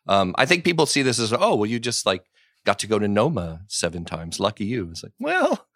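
This is a man guesting on a podcast who is fighting a cold, so his voice is fraying. He speaks on the low side but with a very lively pitch, rambling and poking fun at himself. The recording is dry and close. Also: fairly quick, dry recording. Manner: rambling, self-deprecating, animated. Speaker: guest, food journalist. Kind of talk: casual podcast conversation.

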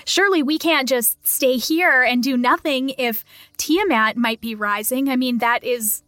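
High-voiced eager woman player. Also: unhurried, fairly bright tone, no echo, studio quality, faint music behind. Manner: earnest, eager. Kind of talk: in-character roleplay in a tabletop game.

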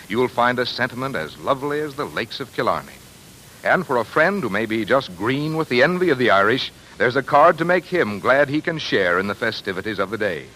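A man's voice: mid-range voice, sharp tone, slight background noise, poor audio. An American man announcing and reading sponsor copy in 1940s radio style on a vintage broadcast.

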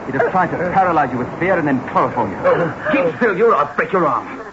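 An assured bantering husband, theatrical, animated mid-range voice, hissy muffled vintage radio drama. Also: male voice, fairly high pitch, quick pace. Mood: urgent, commanding. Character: confident teasing husband, sceptical writer. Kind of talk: 1960s radio drama acting.

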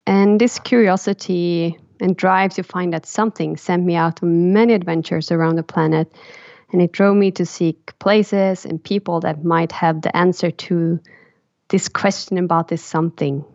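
A Swedish woman in her thirties with a slightly low voice talks through her life story in an unhurried, reflective way. Her voice sits close and dry, with a somewhat dull, muffled tone. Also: steady tone, a little mellow, no echo. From Sweden. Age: 30s. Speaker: podcast co-host. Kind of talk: personal storytelling in a podcast chat.

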